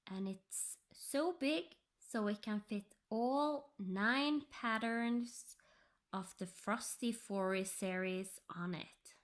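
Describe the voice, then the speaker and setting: A Norwegian woman, English her second language, chatting softly about her cross-stitch project and often dropping into a whisper. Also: mid-range voice. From Norway. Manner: slow, soft-spoken, drifting into whispers. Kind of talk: casual tutorial chat.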